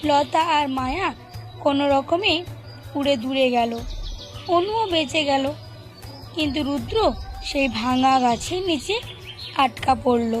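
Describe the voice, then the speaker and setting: A woman narrating a children's cartoon story in a steady, gentle storytelling manner. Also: high-pitched, slow, long pauses. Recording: music playing behind. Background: background music.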